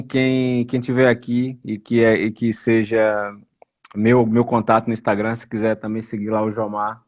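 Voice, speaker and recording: A man's voice: on the low side, no echo, clean studio sound. A man hosting a casual online live stream. He speaks hesitantly, often restarting and repeating words.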